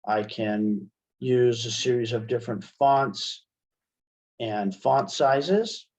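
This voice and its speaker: A man giving a casual software demonstration as an instructor, conversational and thinking aloud as he goes. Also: mid-range voice, takes it slowly. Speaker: instructor, webinar presenter. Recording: dull, band-limited webinar audio.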